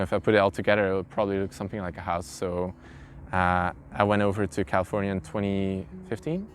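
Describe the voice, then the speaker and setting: A man, an English speaker, telling his own story informally in an interview. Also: low-pitched. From Belgium. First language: not English. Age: early twenties. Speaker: interviewee, carpenter. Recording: clear background noise, ambient sound.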